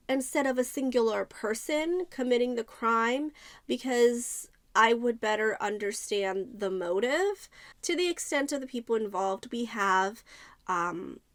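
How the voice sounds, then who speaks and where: A woman's voice: a little high, no echo. A woman giving a casual, conversational book review, thinking aloud and stressing her points as she talks to viewers.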